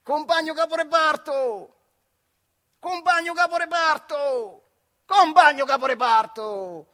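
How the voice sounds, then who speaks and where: Man acting hot-headed working-class boxer for stage piece, high male voice, colloquial central Italian Marche accent, blunt and teasing. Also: slow pace, pushed and bright, heavily acted. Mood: taunting, shouting. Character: stubborn, hot-tempered young factory worker and amateur boxer. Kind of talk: theatrical monologue dialogue.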